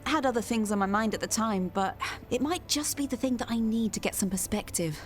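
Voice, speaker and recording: An actress playing a troubled café customer with a wry, self-deprecating manner in a radio soap. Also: female voice, fairly high pitch, brisk, soft background music, lightly acted. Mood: reflective.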